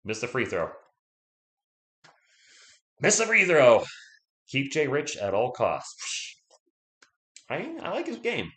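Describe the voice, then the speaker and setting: A man's voice: medium pitch, huge pitch swings, takes it slowly, pauses often, a bit bright, echoey room. A man hosting a live fan play-by-play stream, chatty and loose, reading viewer comments and rooting for his team while losing his voice.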